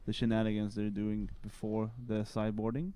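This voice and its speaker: A man's voice: fairly low pitch, completely flat delivery, brisk, very noisy. Spanish-accented man doing casual commentary. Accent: Colombian Spanish. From Colombia. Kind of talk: live game commentary.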